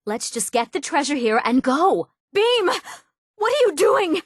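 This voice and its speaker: A woman's voice: fairly high pitch, expressive, moderate pace, dry recording, studio quality. A woman playing a headstrong, eager young treasure hunter in a fantasy game, with a youthful voice. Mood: impatient, urgent. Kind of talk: scripted fantasy game dialogue.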